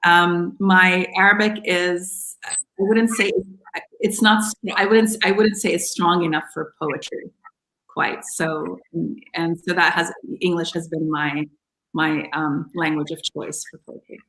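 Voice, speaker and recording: Woman from Kuwait, lowish voice, earnest, encouraging, echoey room. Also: fairly even intonation, a little slow, sharp tone. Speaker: poet guest. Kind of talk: casual Q&A answers.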